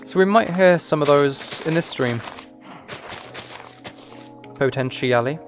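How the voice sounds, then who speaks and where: A man's voice: fairly high pitch, takes it slowly. Man livestreaming, music behind. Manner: rambling, thinking aloud. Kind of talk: casual chat while playing a game.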